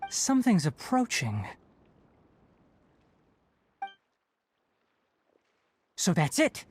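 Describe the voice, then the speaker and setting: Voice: medium pitch, wildly swooping pitch, normal speed, a little hiss or hum. An actor voicing a composed, clinical young scholar in a video game, speaking in a precise, formal, lecturing way. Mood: alert. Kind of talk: scripted video game dialogue.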